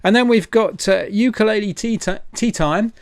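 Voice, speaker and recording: A man's voice: high voice, normal speed, no room sound. A man hosting an informal livestream, chatty and hesitant as he rambles through announcements. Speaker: event host and presenter.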